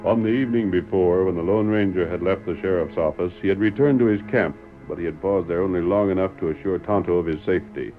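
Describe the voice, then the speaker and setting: A man's voice: sits low, fast, few pauses. A man narrating a vintage radio western as a scripted, dramatic storyteller. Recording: dull vintage radio recording. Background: music.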